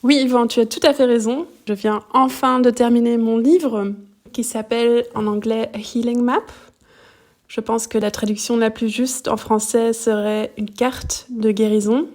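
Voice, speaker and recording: Middle-aged woman, from belgium, native german speaker, calm reflective talk, fairly high voice, flat even tone. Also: moderate pace. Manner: calm, thoughtful, searching for words. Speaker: guest, shiatsu practitioner. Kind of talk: podcast interview, reflective storytelling.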